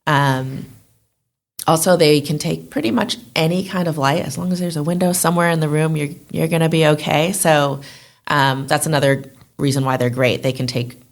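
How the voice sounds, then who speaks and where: A woman's voice: deep voice, fairly even intonation, moderate pace, vocal fry. A woman speaking casually and informally as an interview guest, in a chatty way. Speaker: guest, plant shop owner.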